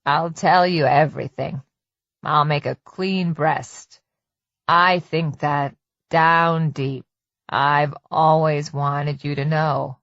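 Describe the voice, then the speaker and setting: A woman voicing a middle-aged husband in an audiobook, calm and folksy, with menacing tenderness and patient condescension. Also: sits low, takes it slowly, dry recording, voice pushed down, exaggerated voice. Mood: tender, confessional. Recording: dull lo-fi dry audiobook recording.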